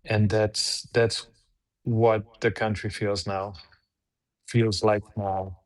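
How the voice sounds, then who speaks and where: A man, guest in an interview, answering in a measured, halting way with frequent restarts. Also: fairly low pitch, flat delivery. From Hungary. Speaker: guest, PR agency head.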